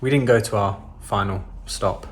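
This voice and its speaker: A man vlogging in a relaxed, rambling way, full of ums and restarts. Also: on the low side, normal speed, a little hiss or hum. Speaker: travel vlogger. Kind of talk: casual chat to camera.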